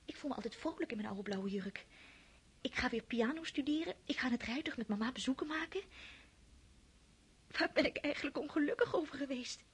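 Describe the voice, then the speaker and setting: A woman's voice: high-pitched, lively intonation, brisk, breathy edge, heavily acted. An actress playing an impulsive, lovesick young girl in a radio play, often whispering her thoughts to herself. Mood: whispering, wistful. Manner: hushed whispered inner monologue. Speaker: countess's daughter.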